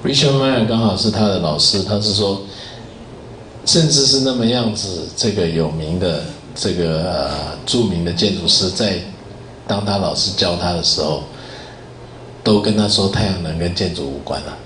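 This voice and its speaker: A man's voice: low voice, moderate pace, a bit bright. A man lecturing to university students, chatting with them and asking them questions. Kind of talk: classroom lecture commentary. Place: university classroom. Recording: echoey classroom with faint hiss.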